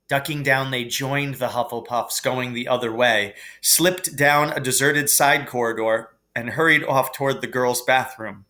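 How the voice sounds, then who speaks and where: Bright-voiced man narrating a storybook slowly. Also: medium pitch, steady tone. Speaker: teacher reading a book aloud.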